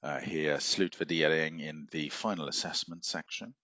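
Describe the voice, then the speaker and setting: A man's voice: very deep voice, normal speed, sharp tone, dry recording. A middle-aged man giving a recorded university lecture from his office over a webcam, rambling with many fillers and restarts. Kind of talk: recorded lecture walking through a syllabus. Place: office at a desk, screen-sharing.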